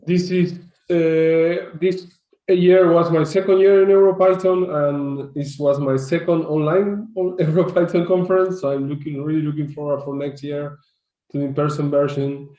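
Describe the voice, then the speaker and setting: A man's voice: on the high side, fairly even intonation, normal speed, reverberant, dull audio. A man from Argentina introducing himself as a board candidate, hesitant and restarting often. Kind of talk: online meeting self-introduction.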